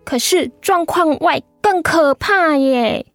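An actress playing a pouty, affectionate high-school daughter in a radio drama, girlish and frank. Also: takes it slowly, fairly bright tone, soft background music, full character voice. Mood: curious, frank.

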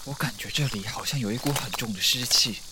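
A man's voice: mid-range voice, a little fast, noisy background, lightly acted. A man acting as an inquisitive, slightly hesitant young traveller in an audio drama, speaking mildly. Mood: unsure, curious. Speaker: voice actor.

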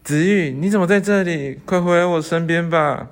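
A man's voice: on the high side, normal speed, close to an everyday voice. A young man playing an earnest, hesitant young scholar in love, in an amateur radio drama. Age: young adult. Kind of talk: student radio drama acting.

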